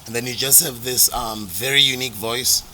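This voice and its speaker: A man's voice: medium pitch, steady tone, brisk, bright, forceful tone, slight background noise, rough recording. A man hosting a podcast, asking long earnest questions and correcting himself as he goes. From South Africa. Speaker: podcast host. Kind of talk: casual interview.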